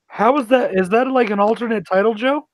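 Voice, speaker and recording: A man from Denver hosting a casual group chat with friends, talkative and quick to cut in with questions. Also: very high voice, no echo. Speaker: host.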